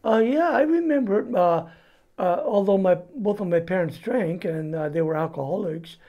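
A man in his seventies with a Californian accent shares a personal story as an interview guest. His voice sits slightly high for a man. He speaks plainly, starting and stopping as he goes. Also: expressive, moderate pace, lo-fi sound. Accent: Californian American. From California, United States. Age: 70s. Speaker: interview guest giving testimony. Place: indoors before a cloth backdrop.

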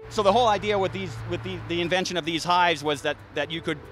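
Brief: thirties male beekeeper, slightly high voice, easygoing and halting, handheld mic outside, soft music underneath. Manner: relaxed, frequent restarts and fillers. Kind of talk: casual explanation to an interviewer. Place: outdoors by beehives in a garden. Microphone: handheld interview mic.

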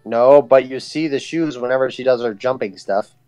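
A man's voice: mid-range voice, normal speed, warm tone, clean tone, no room sound, soft background music. A man in casual conversation as a guest on a livestream. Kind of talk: casual chat.

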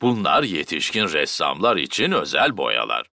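A man's voice: extremely low pitch, huge pitch swings, normal speed, creaky, full character voice. A man voicing a jolly, proud daddy pig in a children's cartoon, with a hearty, chuckling, theatrical delivery. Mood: proud, playful.

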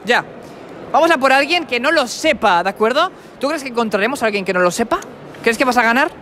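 Guy in his 20s, bright sharp voice, cheeky street quiz host at a convention, handheld mic. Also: extremely high pitch, animated, quick pace, slight background noise. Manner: brash, mocking, playful insults. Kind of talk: teasing street quiz interview. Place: indoor convention hall. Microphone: handheld mic with furry windscreen.

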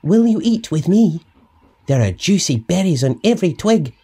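A man's voice: high-pitched, big pitch swings, normal speed, dark tone, slightly rough, voice pushed up, full character voice. A man voicing a friendly, hungry little bird, inviting and sing-song, in a storybook. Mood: eager, inviting.

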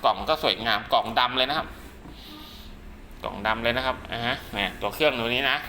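Man, medium-pitched voice, casual product presenter, rambling and repetitive, polite tags, heavy rain noise behind. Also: a little fast. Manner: rambling, repeats himself with frequent polite tags. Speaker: radio seller and channel host presenting products. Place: at home during heavy rain.

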